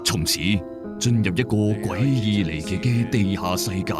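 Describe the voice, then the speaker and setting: A man's voice: on the low side, moderate pace, loud music behind the voice. A man narrating an audio drama as a colloquial, suspenseful storyteller. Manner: colloquial, suspenseful, rhetorical questions.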